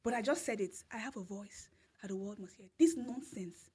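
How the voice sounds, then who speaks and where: A woman's voice: medium pitch, moderate pace, dark tone. A woman with a Nigerian accent, a podcast guest telling a personal story with emphatic repetition and laughter. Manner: emphatic, repeats phrases, laughs through story. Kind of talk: storytelling in casual interview.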